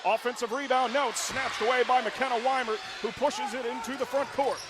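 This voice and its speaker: A man calling live high school basketball play-by-play for radio from a gym, with quick, excited calls that rise to shouts on big plays. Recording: radio broadcast with loud gym noise.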